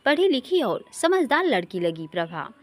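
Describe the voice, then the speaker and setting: A woman's voice: a little high, very animated, normal speed. A woman narrating an emotional story from a script, as a storyteller.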